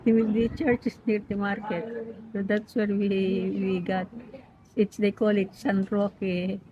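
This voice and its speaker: A woman in her nineties from the Philippines, speaking with a Filipino accent and Pampango as her first language, rambling gently and laughing easily as she recalls her life. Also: mid-range voice, level tone, slow pace. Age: 90s. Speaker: interviewee. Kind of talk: reminiscing in casual chat. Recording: lo-fi with background noise.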